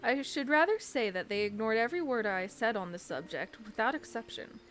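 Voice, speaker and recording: A woman voicing a formal, earnest young fantasy scholar, reading game dialogue in a measured way. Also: a little high, animated, fairly bright tone, soft background music. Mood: troubled.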